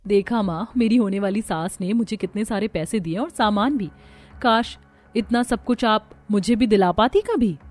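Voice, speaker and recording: A woman's voice: medium pitch, moderate pace, darkish tone, soft background music, lightly acted. A woman voicing a spoiled, demanding young newlywed daughter in a cartoon, whiny and entitled. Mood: taunting.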